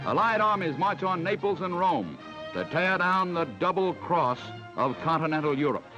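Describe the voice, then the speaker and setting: An American man narrating a 1940s newsreel in a dramatic, emphatic declamatory style, on an old film soundtrack. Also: sits high. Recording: dull vintage 1940s mono film soundtrack. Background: loud music.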